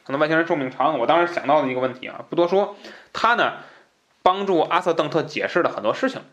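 A man's voice: a little high, lively intonation, fast, a bit bright, lo-fi sound. A man hosting a podcast, explaining a book in an informal, conversational way, with asides and repeated phrases for emphasis. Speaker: podcast host.

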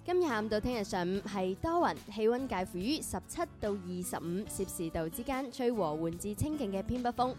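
A woman's voice: mid-range voice, lively intonation, a little fast, few pauses, a little mellow, soft background music. A woman with a Guangzhou Cantonese accent reading a scripted radio weather bulletin.

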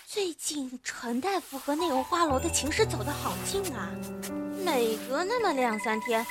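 A woman plays a gossipy young maid in an ancient Chinese radio drama. Her voice is high and chatty, with clear music playing underneath. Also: expressive, moderate pace. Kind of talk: Chinese period audio drama acting.

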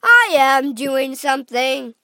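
Woman playing fussy high-voiced storybook father. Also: sing-song, a little slow, pushed and bright, no room sound, studio quality, exaggerated voice. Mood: indignant. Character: fussy, ineffectual father of a greedy boy.

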